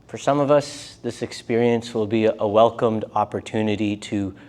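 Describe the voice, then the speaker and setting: A man teaching a live yoga and meditation class, speaking gently in short, unhurried phrases with pauses between them. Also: lowish voice. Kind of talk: guided meditation class instruction.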